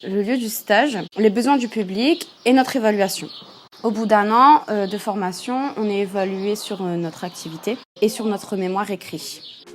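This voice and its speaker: A woman in her thirties, a trainee social youth worker, giving a clear explanatory interview answer. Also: mid-range voice, moderate pace, noisy background. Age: 30s.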